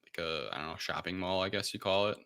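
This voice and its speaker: A man with a low-pitched voice and a very bright, forceful edge talks as a podcast co-host. He recaps loosely and irreverently, wandering through the details in casual chat. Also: quick pace, vocal fry, smooth. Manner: rambling, offhand, irreverent.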